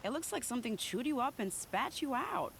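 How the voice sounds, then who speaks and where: A woman's voice: sits high, sing-song, brisk, vocal fry, slight background noise, exaggerated voice. An actress playing a witty, sly woman spy in a video game, with an arch, teasing, flourishing delivery. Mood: teasing, wry. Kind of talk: video game cutscene acting. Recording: music behind the voice.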